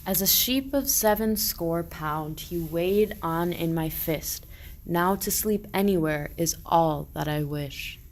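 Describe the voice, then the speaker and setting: A woman's voice: fairly low pitch, slow, a little hiss or hum. A woman playing a pious, earnest medieval shepherd, declaiming rhymed verse in a radio play. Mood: weary.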